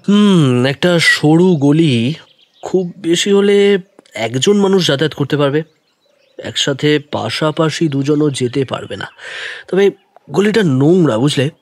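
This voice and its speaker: A man narrating a detective story in the first person, expressive and deliberate, also speaking the detective's lines. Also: on the high side, big-room sound. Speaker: narrator who also voices the young detective.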